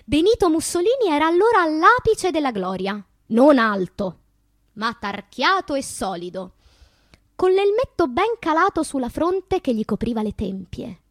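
An actress playing a wistful, wounded woman poet in a theatrical radio monologue, with slow deliberate phrasing and a breathy voice that drops into whispers. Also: high voice, sing-song, dry recording, clean studio sound. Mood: proud, dramatic.

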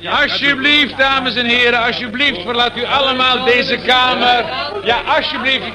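A man's voice: very high-pitched, steady tone, normal speed. A man in a radio play acting a bossy, coaxing police inspector who repeats his urgings insistently. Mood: officious, urgent. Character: bossy, coaxing male police inspector herding people about. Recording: radio play with crowd murmur.

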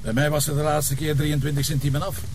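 A man's voice: a little high, level tone, fast, background noise, close to an everyday voice. A man acting a grumbling, sarcastic middle-aged police commissioner in a TV crime drama, with a colloquial, teasing Flemish way of talking.

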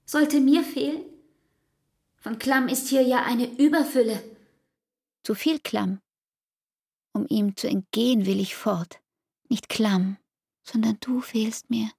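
An actress playing a weary, pleading young woman in love in a German radio drama, intimate and breathy, slipping into whispers. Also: on the high side, slow pace, long pauses, a little mellow. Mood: desperate longing.

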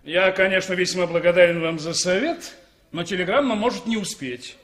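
An actor in a 1960s Soviet radio play as a self-important, pushy car owner, pompous and officious. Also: male voice, high voice, normal speed, a bit bright.

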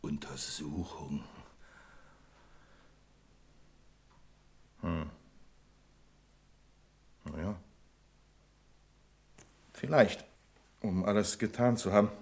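An actor in a 1970s German radio play, playing a pompous, stubborn, sarcastic bank branch manager with a condescending manner. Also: male voice, low voice, animated, slow, pauses often, darkish tone, a little hiss or hum, exaggerated voice. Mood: uneasy, harried.

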